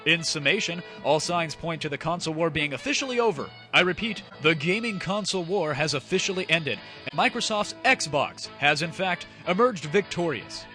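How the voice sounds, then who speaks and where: A man voicing a pompous news anchor, reading a mock bulletin in a formal, deadpan, emphatic way. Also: a little high, normal speed. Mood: solemn, self-important. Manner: formal, deadpan, stiltedly emphatic newsreader delivery. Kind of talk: parody news broadcast narration. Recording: loud music bed behind voice.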